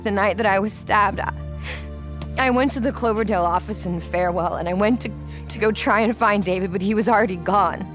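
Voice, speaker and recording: An actress with an American accent playing an earnest, guilt-ridden scientist who survived an outbreak, speaking haltingly in a video game drama. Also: female voice, medium pitch, close to an everyday voice. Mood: somber, grieving. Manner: halting, repeats phrases as she confesses. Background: music behind the voice.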